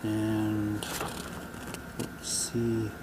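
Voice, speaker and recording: A man's voice: on the low side, completely flat delivery, extremely slow. A man doing a casual show-and-tell, switching between chatty remarks and reading printed material aloud. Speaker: presenter showing audio drama props. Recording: noticeable background noise.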